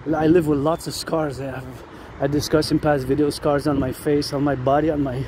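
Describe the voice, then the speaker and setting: A man's voice: fairly high pitch, little pitch movement, fairly quick. A man delivering a rambling outdoor monologue, insistently repeating words and phrases. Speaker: vlogger. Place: outdoors near a road with vehicles. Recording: loud outdoor traffic noise. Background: passing vehicles, urban ambience.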